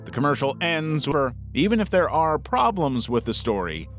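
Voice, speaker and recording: A man's voice: on the high side, very animated, moderate pace. A man reading a scripted video essay as its narrator. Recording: music under the voice. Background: background music.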